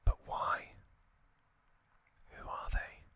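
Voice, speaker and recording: Slow whispering man, ASMR host. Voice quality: soft unvoiced whisper throughout. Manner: gentle, unhurried, with frequent soft hesitations. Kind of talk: whispered ASMR trivia recap.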